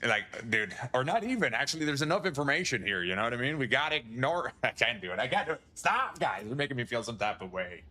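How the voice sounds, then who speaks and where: A man's voice: mid-range voice, very animated, fast talker, hardly any pauses, pushed and bright. A male streamer chatting casually on a livestream, rambling, swearing and chuckling often.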